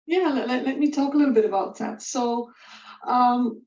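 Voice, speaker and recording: Middle-aged female guest, casual halting storyteller, raised pitch, recorded in a very echoey room. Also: darkish tone. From Belgium. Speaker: guest, corporate professional. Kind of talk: casual personal career story. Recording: roomy, distant, dull lo-fi audio.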